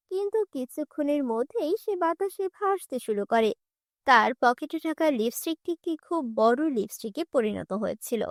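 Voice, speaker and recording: A woman's voice: high-pitched, no room sound, clean studio sound. A woman narrating a scripted cartoon fairy tale as its storyteller.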